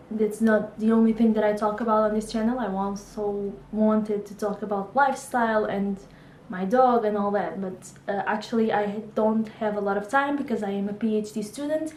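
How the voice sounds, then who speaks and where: A woman's voice: medium pitch, steady tone, moderate pace, a little mellow, reverberant, a little hiss or hum, poor audio. A woman in her twenties from Portugal with a Portuguese accent, chatting casually to camera about books, laughing often and hesitating with fillers. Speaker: book vlogger.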